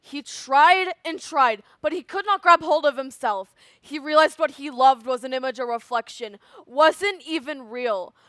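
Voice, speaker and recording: A young woman performing a solemn, lovelorn nymph in a dramatic, drawn-out theatrical monologue. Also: sits high, a bit bright, no room sound, studio quality. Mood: anguished. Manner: dramatic, drawn-out, sighing delivery.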